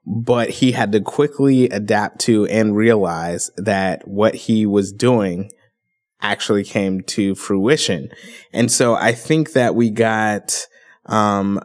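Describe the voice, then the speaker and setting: A man talking over a film in casual podcast chat, giving long thoughtful answers with a playfully skeptical edge. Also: lowish voice.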